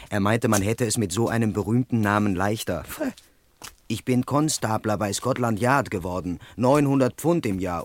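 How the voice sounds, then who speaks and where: A man's voice: lowish voice, natural voice. A man playing an ambitious, dutiful young London police constable in his twenties in a 1970s radio play, musing to himself or deferring to superiors. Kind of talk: 1970s German radio play acting, monologue and dialogue.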